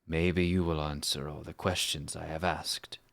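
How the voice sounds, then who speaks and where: A man acts out an aging, world-weary male assassin delivering a game monologue. The voice sits low and moves slowly, with measured phrasing. The tone is grave and wistful, that of someone solemnly looking back on life. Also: flat delivery, creaky, a bit bright, full character voice.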